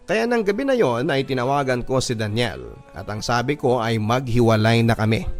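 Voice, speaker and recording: A Filipino man reading a scripted love story in the first person as a radio storyteller, with a measured, emotive delivery. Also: mid-range voice.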